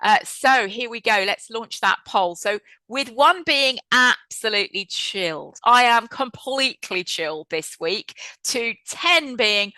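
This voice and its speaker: A woman in her fifties hosting a webinar over a video call, talking in a chatty, lively and reflective way. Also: fairly high pitch, measured pace, hardly any pauses, dry recording, poor audio. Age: 50s. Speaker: webinar host and trainer. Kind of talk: presenting a webinar.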